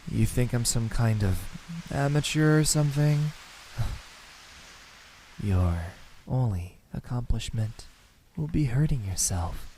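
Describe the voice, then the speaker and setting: A young man playing a menacing student kidnapper in an ASMR roleplay, calm and smug, speaking softly and deliberately into a close microphone. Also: mid-range voice, slight background noise, poor audio. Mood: condescending menace. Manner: soft, deliberate, breathy sighs, dropping into whispers.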